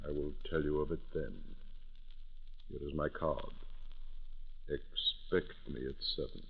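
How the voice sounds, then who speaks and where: Grave phantom gentleman, 1940s radio horror. Extremely low voice, formal, deliberate, muffled vintage recording. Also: fairly even intonation, breathy edge, full character voice. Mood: ominous, commanding. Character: sinister faceless phantom gentleman in a cape.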